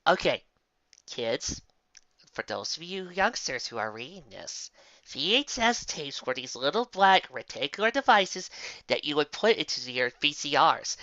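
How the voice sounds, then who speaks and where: Amateur fan fiction narrator: lively, bright delivery, dry close mic, slightly dull sound. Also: medium pitch, dry recording. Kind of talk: animated story narration with character voices.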